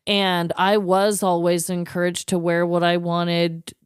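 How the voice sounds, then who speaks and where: A woman's voice: on the low side, monotone, a little slow, clear, resonant tone, no echo. Female podcast co-host, very clean resonant tone, dry sound, heartfelt and supportive conversational delivery. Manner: empathetic, earnest.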